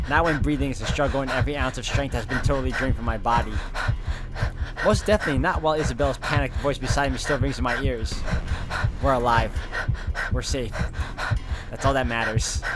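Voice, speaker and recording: A man reading a visual novel's narration aloud in a dramatic storytelling style. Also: mid-range voice. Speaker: game walkthrough player reading narration aloud. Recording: loud music behind the voice. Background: loud game music.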